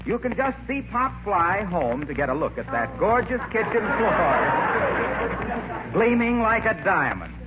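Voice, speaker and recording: A man's voice: high-pitched, very animated, slow pace, heavily acted. An actor playing a genial, eager salesman in the jovial, salesy patter of a 1930s radio announcer. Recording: muffled old radio recording, faint noise.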